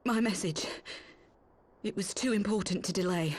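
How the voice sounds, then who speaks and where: A woman's voice: mid-range voice, moderate pace, darkish tone, breathy edge, natural voice. A woman playing a brave, wounded cat-woman resistance fighter in a video game, earnest and grave. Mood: weary, earnest. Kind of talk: video game cutscene acting.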